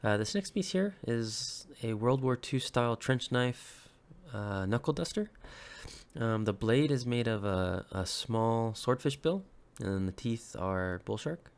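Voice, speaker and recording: A man in his thirties from Hawaii, still a little sick, showing off handmade pieces in a casual vlog, with plenty of ums and uhs and quick self-corrections. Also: medium pitch. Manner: relaxed, many ums and uhs, corrects himself. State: slightly sick, recovering from illness. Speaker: woodworker and weapon maker. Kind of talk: casual show-and-tell vlog.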